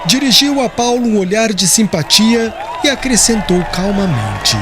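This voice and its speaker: A man reading scripted audiobook narration as an expressive, solemn storyteller. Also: high-pitched, normal speed, crowd noise. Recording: music behind the voice.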